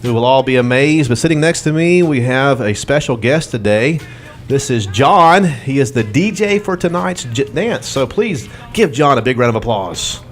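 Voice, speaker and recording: A man's voice: mid-range voice, lively intonation, moderate pace, faint music behind. A man from Alabama hosting a casual chat show, joking and self-deprecating. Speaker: host.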